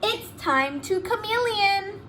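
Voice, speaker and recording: A woman voicing a silly, cheerful schoolteacher from a picture book, announcing in drawn-out, teacherly tones. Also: extremely high pitch, expressive, sharp tone, slight background noise, voice pushed up, full character voice.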